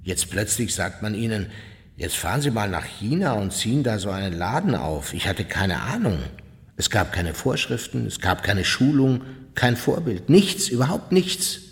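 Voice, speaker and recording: An ageing former war officer in a radio documentary monologue. The voice is fairly low and husky, moving animatedly up and down. He is blunt and self-justifying, without any remorse. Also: big pitch swings, normal speed, heavily acted.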